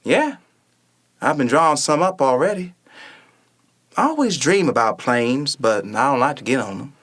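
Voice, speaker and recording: Man playing a wary young boy, mid-pitched. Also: animated, moderate pace, fairly bright tone. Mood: shyly hopeful. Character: wary, bookish twelve-year-old boy, younger brother. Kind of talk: radio play acting.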